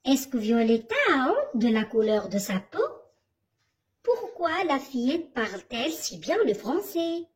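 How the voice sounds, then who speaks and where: A woman teaching a reading lesson to primary school children, asking questions and answering them herself in a slow, didactic way. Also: sits high, big pitch swings.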